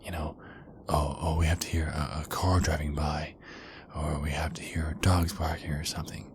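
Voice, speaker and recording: A man playing an ardent, nervous werewolf boyfriend in an ASMR roleplay, halting and repeating himself as he pleads. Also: very deep voice, flat delivery, a little hiss or hum. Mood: tender, earnest.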